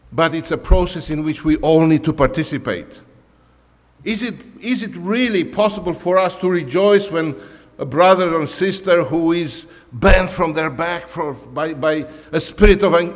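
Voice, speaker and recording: A man's voice: a little high, long reverb tail. A man whose first language is Romanian, a pastor preaching a conversational sermon in English, full of "you know" and restarts.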